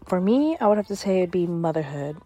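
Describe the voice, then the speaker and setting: A woman's voice: fairly low pitch, sing-song, a little fast, dark tone, a little hiss or hum. Woman telling a funny story. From California. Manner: self-mocking, storytelling. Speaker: caller sharing a story. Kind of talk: voice message anecdote.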